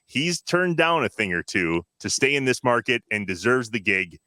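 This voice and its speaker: A man's voice: medium pitch, big pitch swings, normal speed, bright, forceful tone, no room sound, clean studio sound. A man hosting a podcast in a casual, informal and breezy way. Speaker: podcast host.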